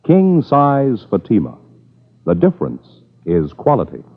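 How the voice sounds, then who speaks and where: A man's voice: medium pitch, huge pitch swings, measured pace. A man announcing a 1950s radio crime show and its sponsor with punchy, dramatic emphasis. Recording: tinny old radio with loud music.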